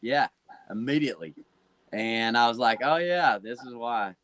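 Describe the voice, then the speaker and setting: Lively man in his 40s, dry sound. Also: mid-range voice, normal speed, dry recording. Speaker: podcast co-host. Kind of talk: casual podcast chat.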